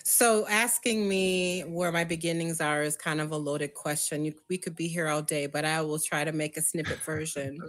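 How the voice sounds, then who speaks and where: A woman's voice: low voice, steady tone, normal speed, few pauses, a bit bright. A woman in her fifties from Minneapolis, a guest, reflecting on her story. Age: 50s. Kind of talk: personal storytelling.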